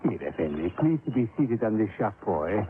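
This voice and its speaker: A man's voice: lowish voice, big pitch swings, normal speed. An actor playing a fawning foreign sailor who dotes on his birds, in fussy broken English, in a 1950s radio drama. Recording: tinny old radio broadcast with hiss.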